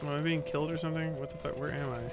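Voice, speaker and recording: A man's voice: on the high side, flat delivery, rapid-fire, loud music behind the voice. A man streaming a video game, grumbling and swearing to himself as he plays. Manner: profane, grumbling, talking to himself. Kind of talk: casual gameplay commentary.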